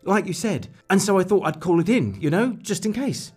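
A man playing a flustered, evasive suburban neighbour in a dark comedy audio drama, nervous, over-polite and hesitant. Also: high voice, animated, normal speed, slightly rough, soft background music. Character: flustered, evasive suburban male neighbour caught lying to police.

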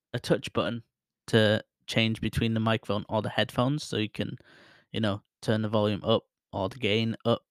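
A man's voice: fairly low pitch, dry recording. A man casually reviewing a microphone he is testing, rambling and self-deprecating, laughing at himself, speaking close into a studio mic at his desk. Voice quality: voice others call soothing. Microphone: close studio microphone with headphones.

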